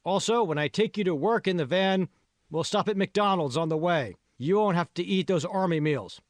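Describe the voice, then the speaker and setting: A man's voice: high-pitched, normal speed, dry recording, pitched above the performer's own voice, natural voice. A man voicing a bossy, irritable foreman in an audiobook, coarse and impatient, haranguing his crew. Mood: bossy, persuading. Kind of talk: audiobook character dialogue.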